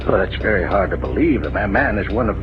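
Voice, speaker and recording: A man's voice: on the low side, animated, quick pace, over music, lightly acted. A man playing a cautious, reluctant private detective's partner in an old-time radio drama, speaking hesitantly and conversationally. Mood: wary. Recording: tinny old radio recording, loud vehicle noise.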